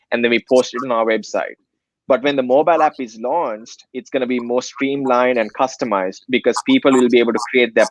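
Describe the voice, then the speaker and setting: A man's voice: mid-range voice, no room sound, highs cut off. A young man from Sri Lanka, a nonprofit director, earnestly explaining his project in an interview with many fillers. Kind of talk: interview answers.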